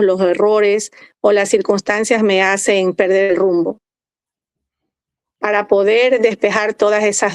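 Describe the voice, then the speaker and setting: A woman's voice: mid-range voice, dry recording. Lady podcast host, mid voice, dry close mic, formal and a bit hesitant. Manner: formal, warm introductions, hesitant fillers. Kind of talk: podcast interview hosting.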